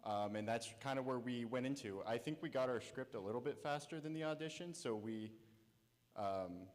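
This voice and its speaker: A man's voice: medium pitch, moderate pace. A young man, a student filmmaker, speaking casually at a festival Q&A on a theater stage. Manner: casual, with fillers. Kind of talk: answering questions at a festival Q&A. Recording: reverberant hall with audience.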